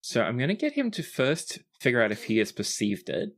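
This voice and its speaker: Casual game master, mid-pitched voice, sweary. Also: wildly swooping pitch, fairly quick. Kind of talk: narrating a tabletop role-playing game.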